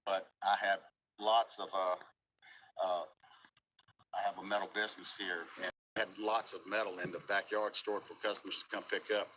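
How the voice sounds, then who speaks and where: A middle-aged American man giving a casual eyewitness interview outdoors, speaking haltingly and repeating words. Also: deep voice, flat delivery, sharp tone, low-quality recording. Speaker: local resident and business owner being interviewed. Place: outdoors at a storm damage site. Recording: outdoor interview with faint noise.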